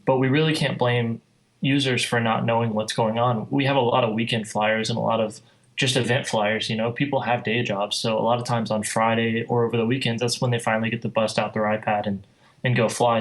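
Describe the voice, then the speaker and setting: Male podcast guest, explanatory and conversational, flat intonation, mid-range voice. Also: medium pitch, brisk, poor audio. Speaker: guest, air traffic controller and flight sim enthusiast. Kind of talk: podcast interview, explanatory talk.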